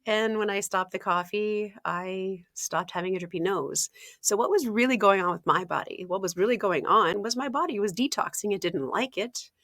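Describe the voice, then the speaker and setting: A woman speaks as a health coach in a relaxed interview. Her voice sits at a middle pitch and sounds close and dry. She chats freely, laughs often, clears her throat now and then, and leans on "um" and "uh.". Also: moderate pace, no room sound. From Ontario, Canada. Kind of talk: casual interview talk.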